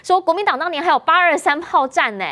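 A woman's voice: very high-pitched, fast talker, pushed and bright. A woman news anchor with a Taiwanese accent, presenting and discussing the news. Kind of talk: live news presenting and interviewing.

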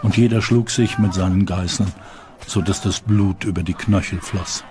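Man reading as a low-voiced, solemn German medieval chronicler. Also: steady tone, moderate pace, noisy background, lightly acted. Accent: standard German. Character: grave medieval male chronicler recounting horrors. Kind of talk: dramatised reading of old chronicles. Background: background music.

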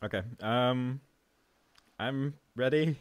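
A man's voice: medium pitch, takes it slowly, no room sound. A man, an amateur voice actor, talking casually between takes.

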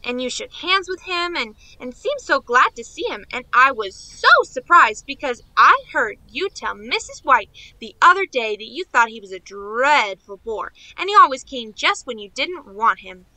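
A woman's voice: high-pitched, sing-song, moderate pace, hardly any pauses, bright, forceful tone, no room sound, exaggerated voice, rough recording. A woman playing a naive, eager young niece in a radio play, chattering on in rambling run-on sentences. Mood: eager, chatty.

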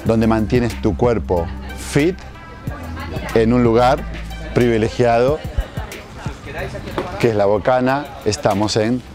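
Male fitness instructor, forties, informal presenter to camera, recorded outdoors with clear background music. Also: medium pitch, slow pace, long pauses. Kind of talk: informal promotional talk to camera. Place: outdoors at a seaside marina. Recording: music playing behind the voice.

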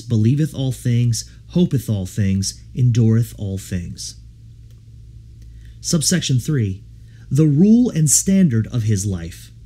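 A man reads a devotional audiobook in a mellow, slightly husky voice of medium pitch. His delivery is measured and solemn, with lively rises and falls that give the scripted text a rhetorical shape. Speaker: audiobook reader. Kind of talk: scripted narration of a devotional book.

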